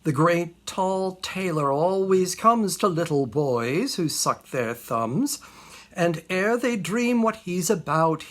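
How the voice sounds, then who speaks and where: Storyteller playing a stern mama, slow and bright-edged. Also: medium pitch. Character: stern mama warning her small son.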